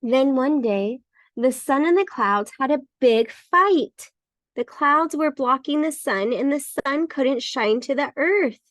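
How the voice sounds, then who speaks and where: A woman reading a bedtime story for children in an expressive, emphatic way. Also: high-pitched, takes it slowly, no echo. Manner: expressive, emphatic with repeated words. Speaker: storybook reader.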